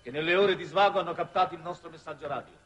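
A man's voice: a little high, fast, a bit bright, muffled recording, natural voice. Actor as scornful male war minister. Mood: indignant, scornful. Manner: indignant, contemptuous. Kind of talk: 1960s Italian television drama acting.

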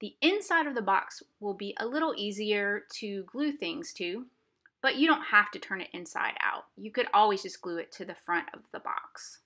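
A woman's voice: mid-range voice, animated, moderate pace, bright, forceful tone. A woman art instructor giving a simple, explanatory craft demonstration for children. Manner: simple, explanatory, addressing young viewers. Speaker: art instructor for a museum family program. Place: indoors at a craft table.

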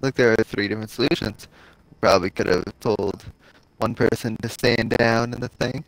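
A man's voice: on the low side, fairly even intonation, normal speed, dry recording, poor audio. A man who streams games, chatting casually with teammates and sometimes searching for words. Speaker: game streamer. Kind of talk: casual chat with teammates while gaming.

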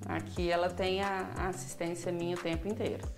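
A woman's voice: fairly low pitch, level tone, fairly quick, echoey room, faint music behind. A woman in her thirties, a mother being interviewed at home, explaining things earnestly and step by step into a reporter's handheld mic. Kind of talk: casual interview answers. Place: home kitchen indoors.

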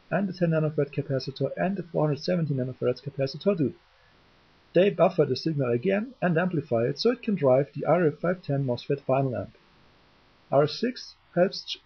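A man gives a methodical technical explanation, halting slightly as he goes. His voice sits a little high for a man and is gravelly. The recording is dull and lo-fi, as from a cheap microphone. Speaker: electronics hobbyist presenter. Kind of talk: explanatory tutorial narration. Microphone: cheap DIY microphone, voice-over.

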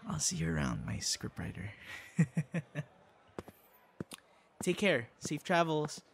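A man's voice: on the high side, huge pitch swings, takes it slowly, creaky, fairly bright tone, slight background noise, heavily acted. A man acting a shy, kind young boyfriend in an ASMR roleplay, speaking conversationally with hesitations and stammers. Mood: pleading, tender.

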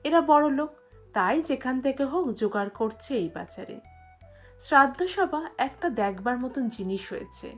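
A woman narrates an audio story in a fairly high voice. She reads scripted literary prose, with faint music playing behind her. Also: expressive, moderate pace.

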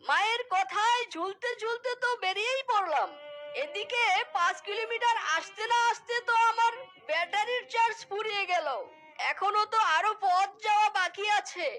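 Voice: very high voice, moderate pace, pushed and bright. A performer voicing a whiny, melodramatic young male fox in a cartoon, wailing and lamenting theatrically. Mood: wailing panic. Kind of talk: cartoon voice acting.

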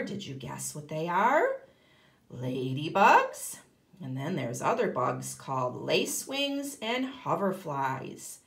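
A woman's voice: lowish voice, reverberant. A woman teaching kindergarten, reading a children's book aloud expressively and explaining it to young listeners. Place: indoor room, at a table.

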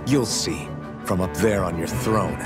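An actor playing a resolute young hero in a video game, earnest and plain-spoken. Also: male voice, low-pitched, a little slow, loud music behind the voice. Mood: determined. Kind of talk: scripted video game acting.

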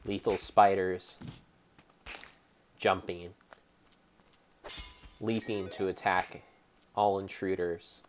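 A young man giving a theatrical, mock-serious dramatic narration over gameplay, speaking deliberately like a storyteller. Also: sits low, flat delivery, a little hiss or hum. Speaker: game streamer.

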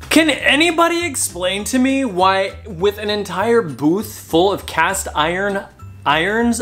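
A man in his thirties filming a casual haul vlog in a cluttered storage room, talking straight to the camera in an animated, self-mocking way. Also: sits high. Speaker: reseller vlogger.

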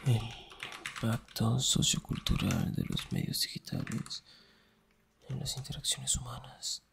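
A young man performing an intimate ASMR roleplay as a teasing, affectionate best friend, murmuring in a breathy, husky whisper. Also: mid-range voice, big pitch swings, a little slow, noisy background.

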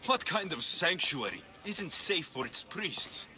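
Blunt, animated mercenary hero. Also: male voice, a little high, unhurried, exaggerated voice. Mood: skeptical, impatient. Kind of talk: video game acting. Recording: music behind the voice.

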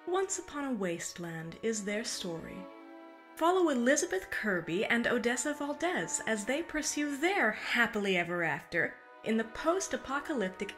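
A woman's voice: mid-range voice, fairly bright tone. A woman reading a scripted, storybook-style podcast promo as its announcer.

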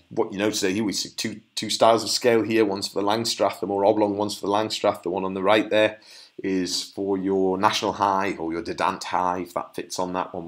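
A middle-aged man from the UK giving an informal product presentation as a beekeeper and founder, in a conversational, understated, lightly wry way. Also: deep voice.

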